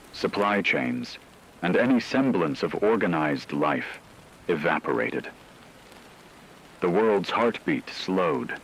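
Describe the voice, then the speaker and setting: A man narrating a scripted apocalyptic story in a solemn, measured way. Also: low voice, gravelly, a bit bright, a little hiss or hum, rough recording.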